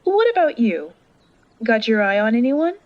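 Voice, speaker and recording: A woman's voice: fairly high pitch, normal speed, no room sound. A woman playing an eager, cheeky and curious young woman in a fantasy audio drama. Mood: teasing, curious. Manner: excitable, teasing. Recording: dull, dry close recording.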